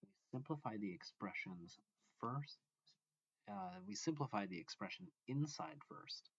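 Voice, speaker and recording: A man reading a math textbook aloud as a teacher, explaining in short, deliberate phrases. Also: medium pitch, sing-song, gravelly, slightly rough. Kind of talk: instructional read-along of a math textbook. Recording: dull lo-fi, echoey room.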